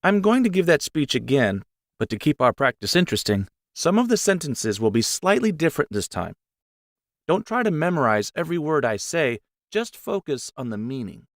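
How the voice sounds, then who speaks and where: A man giving clear, encouraging English lesson instructions in a scripted style. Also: fairly high pitch, big pitch swings, normal speed, no echo, studio quality. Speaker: English teacher.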